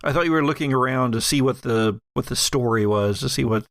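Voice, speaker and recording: A man narrating a tabletop horror game as game master, with halting, deliberate scene-setting. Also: medium pitch, a bit bright, dry recording, clean studio sound.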